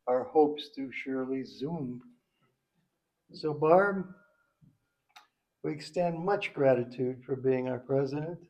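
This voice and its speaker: A man reads a rhyming tribute aloud in a mellow, slightly high voice. His delivery is slow and halting, with the odd stumble. The event microphone gives the sound a muffled edge. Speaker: club member reading a tribute poem at a banquet. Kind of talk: reading a rhyming poem aloud. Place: banquet event, speaking to a group.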